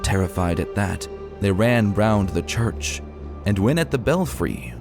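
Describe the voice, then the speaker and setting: Deep-voiced man narrating with lively intonation. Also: unhurried, music in the background. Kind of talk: scripted narration of an old ghost account.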